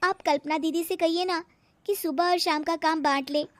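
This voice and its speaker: A woman's voice: very high-pitched, fairly even intonation, moderate pace, pitched above the performer's own voice. A woman voicing a dutiful young daughter-in-law in a cartoon moral story, polite and earnest. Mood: weary, pleading.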